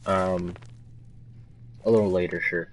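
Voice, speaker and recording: A man's voice: low-pitched, fairly even intonation, measured pace. A man chatting casually with a friend while playing video games. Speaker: gaming friend in voice chat. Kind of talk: casual chat while gaming. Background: faint game music.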